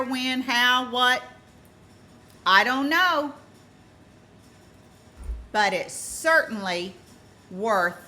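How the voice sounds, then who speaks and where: A woman giving informal true-crime commentary as a vlogger, emphatic and deliberate, repeating points for stress. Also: medium pitch, bright, forceful tone, a little hiss or hum.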